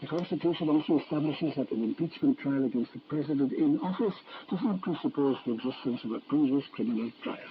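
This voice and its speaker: A man delivers news in an even, formal broadcast manner at a middle pitch. His voice comes through a dull shortwave signal that cuts the highs. Static clearly sits under every word. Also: quick pace, few pauses. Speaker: news reader. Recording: muffled noisy shortwave broadcast.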